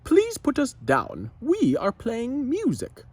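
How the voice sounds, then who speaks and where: A man's voice: very high voice, wildly swooping pitch, takes it slowly, slightly rough, voice pushed up, heavily acted. A man voicing a firm, easily angered storybook leader with an emphatic, commanding manner. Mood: angry.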